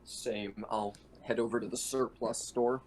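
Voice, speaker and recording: A man's voice: medium pitch, steady tone, unhurried. A man chatting casually and jokingly as a player in an online tabletop game. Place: online voice call.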